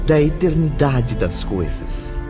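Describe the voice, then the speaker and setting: A male narrator reads an audiobook slowly and solemnly at a middle pitch. Music plays clearly behind him, and the recording is a bit dull. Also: big pitch swings.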